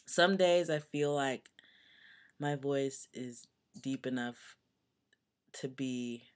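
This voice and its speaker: A young man with a voice that is still deepening, giving a chatty, earnest video update full of fillers. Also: slow, smooth. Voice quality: voice still deepening, not yet fully low. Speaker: vlogger. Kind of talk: casual personal video update.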